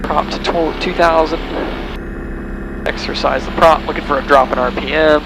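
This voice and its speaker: A man's voice: fairly high pitch, steady tone, moderate pace. A male pilot calling out his preflight checks in clipped, matter-of-fact phrases from a small plane cockpit. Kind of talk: running a preflight checklist aloud. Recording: engine noise in cockpit.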